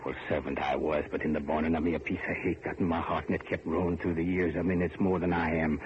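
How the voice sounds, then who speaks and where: An actor playing a bitter, menacing old servant full of hatred in an old-time radio horror drama. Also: male voice, rumbling low, little pitch movement. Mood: bitter taunting. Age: older. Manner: menacing, taunting, drawn-out emphasis. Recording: muffled, noisy vintage radio recording.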